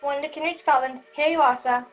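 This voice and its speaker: High-pitched train caller played by a woman. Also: steady tone, brisk, soft background music, lightly acted, rough recording. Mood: brisk. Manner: calling out, polite. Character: brisk railway ticket clerk and train caller. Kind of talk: old-time radio play acting. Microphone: video call.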